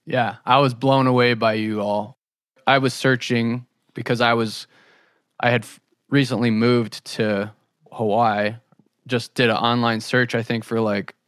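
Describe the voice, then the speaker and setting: A man's voice: mid-range voice, level tone, a little slow, a bit bright, dry recording, clean studio sound. A man hosting a podcast interview, casually building long, thoughtful questions with hesitant phrasing.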